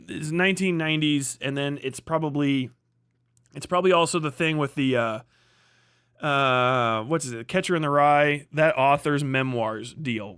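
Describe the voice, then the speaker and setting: A man with a somewhat high voice talks off the cuff as a livestream commentator. His delivery is blunt and emphatic, peppered with profanity and repeated phrases. The sound is very dry, with no room echo. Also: a bit bright.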